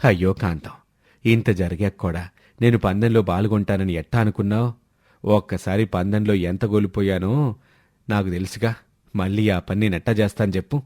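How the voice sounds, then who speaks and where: A man's voice: on the low side, steady tone, moderate pace, a little mellow, dry recording, clean studio sound, natural voice. A man playing an older village father in a story, apologetic and pleading, with a weakness for gambling. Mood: defensive. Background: background music.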